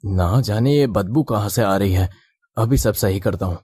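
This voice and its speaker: A man voicing the men of a cartoon family tale, in an expressive, exclamatory way. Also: fairly low pitch, normal speed, slightly rough, no room sound. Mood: matter-of-fact. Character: men of a family tale: father, groom, priest. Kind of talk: animated moral-story dialogue.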